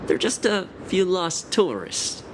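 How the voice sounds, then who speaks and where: A man's voice: high-pitched, big pitch swings, slow, noisy background, full character voice. A man voicing an easygoing, placating inspector in a video game, with a casual manner. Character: easygoing, placating male inspector smoothing things over. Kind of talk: scripted video game dialogue acting.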